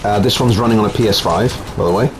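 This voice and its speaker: Italian man, lowish voice, relaxed game review at home, faint room hum. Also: fast talker. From Italy. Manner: relaxed, chatty, frequent ums. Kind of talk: casual gameplay commentary.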